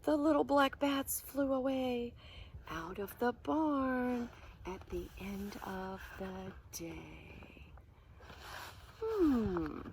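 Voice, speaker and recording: A woman reading a picture book aloud to preschoolers as their teacher, expressive and sing-song, often hushed, pausing to explain words and ask questions. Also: a little high, slight background noise. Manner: animated, asks questions and explains words to children.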